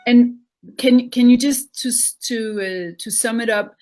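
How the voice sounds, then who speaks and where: A woman's voice: on the high side, measured pace, dark tone. Woman hosting a live interview. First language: Danish. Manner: chatty, searching for words, many repeats. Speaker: interview host.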